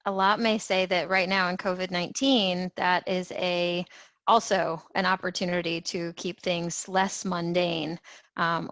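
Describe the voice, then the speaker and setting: A woman's voice: lowish voice, a bit bright, no room sound. A woman hosting a webinar who adds a hesitant follow-up comment. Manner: hesitant, with fillers and restarts. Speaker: webinar host.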